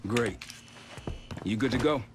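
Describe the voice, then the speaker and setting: An actor playing a gutsy, wry covert operative in a video game, speaking casually and confidently. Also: male voice, mid-range voice, unhurried, background noise, close to an everyday voice. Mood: urgent. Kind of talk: cinematic video game acting.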